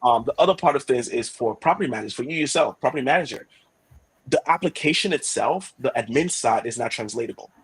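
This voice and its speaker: A man who also speaks Yoruba, walking viewers through software features in a product demo, often checking in with "right?". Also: medium pitch, expressive, slightly rough, poor audio. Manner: fast, frequent "right?" checks, thinking aloud with "um". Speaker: product presenter. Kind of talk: product demo webinar talk.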